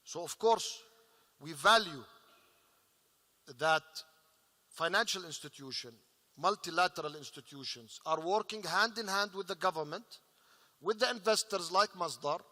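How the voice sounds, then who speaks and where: A man speaking as an investor on a panel, earnest and halting, with many fillers. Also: high-pitched, pushed and bright. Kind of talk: panel discussion remarks. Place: large conference hall. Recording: reverberant conference hall.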